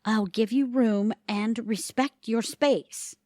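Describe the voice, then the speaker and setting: Actress doing wry earnest middle-aged mom in a radio play, slow pace, dry close sound. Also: female voice, fairly high pitch, steady tone, dark tone, no echo. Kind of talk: audio theatre acting.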